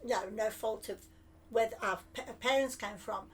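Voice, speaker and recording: An older woman born in England to Cypriot parents, telling lively anecdotes and acting out what people said. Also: medium pitch, measured pace. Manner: lively anecdotal storytelling with acted-out quotes. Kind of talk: reminiscing conversation.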